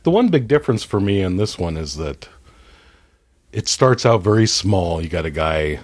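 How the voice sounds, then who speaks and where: A man's voice: low voice, very animated, moderate pace, darkish tone, no echo, clean studio sound. A man speaking as a podcast guest, chatting casually about films in a joking, self-deprecating way. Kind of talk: casual film chat. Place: his home theater.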